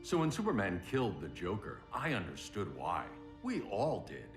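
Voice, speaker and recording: A man playing a grim, middle-aged vigilante in a video game, with a gravelly growl and solemn, terse delivery. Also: low voice, huge pitch swings, normal speed. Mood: grave, somber. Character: grim, stern middle-aged male vigilante crimefighter and father. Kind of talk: video game cinematic acting and narration. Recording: echoing voice under loud music.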